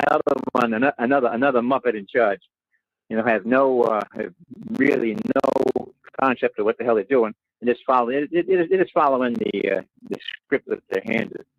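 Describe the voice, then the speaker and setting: A man's voice: medium pitch, brisk, vocal fry, no echo. A man calling in to a talk radio show over a phone, speaking bluntly and often repeating words. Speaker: caller. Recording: muffled phone line.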